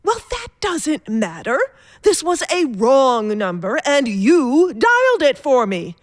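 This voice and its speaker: A woman's voice: high voice, sing-song, slow pace, dry recording, full character voice. A woman playing an imperious, panicky telephone caller in a radio thriller, melodramatic and insistent. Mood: indignant, demanding.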